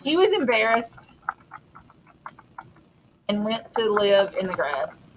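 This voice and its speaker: A woman's voice: mid-range voice, sing-song, slow. A middle-aged woman co-teacher talking through a lesson in an informal, explanatory, conversational manner. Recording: clear background noise.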